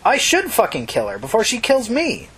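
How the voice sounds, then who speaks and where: A woman's voice: fairly high pitch, huge pitch swings, moderate pace, a bit bright, slightly rough, pitched above the performer's own voice, heavily acted. A woman voicing a desperate, stammering teenage runaway girl in an emotional audiobook story. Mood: angry, defensive. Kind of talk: audiobook character dialogue.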